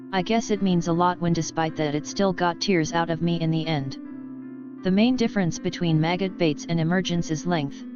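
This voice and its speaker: A woman narrating a scripted video review in a blunt, opinionated way, with swearing. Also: fairly low pitch. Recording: dull lo-fi recording, loud music behind.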